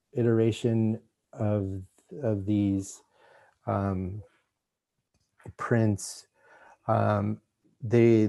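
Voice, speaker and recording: Slow, halting man with a dark voice. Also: lowish voice. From Mexico, raised in Los Angeles. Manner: halting, many restarts and fillers. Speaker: visiting artist lecturer. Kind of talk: art lecture.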